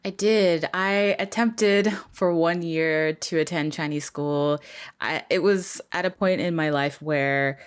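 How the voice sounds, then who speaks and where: Deep-voiced, laid-back interview guest. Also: female voice, slow pace, smooth. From Canada. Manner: laid-back, chatty, laughs easily. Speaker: guest, artist. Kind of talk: casual interview conversation.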